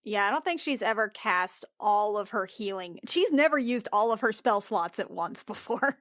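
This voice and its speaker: A woman's voice: medium pitch, expressive, normal speed, a bit bright, clean studio sound. Woman player chatting in a tabletop rpg, casual banter, no room echo. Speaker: player in a tabletop roleplaying podcast.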